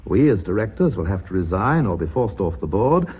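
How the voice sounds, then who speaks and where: An actor playing a formal, authoritative board chairman in a British radio drama, speaking in measured, long sentences. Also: fairly low pitch, sing-song, breathy edge, heavily acted. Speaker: company chairman. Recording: muffled, band-limited old radio recording.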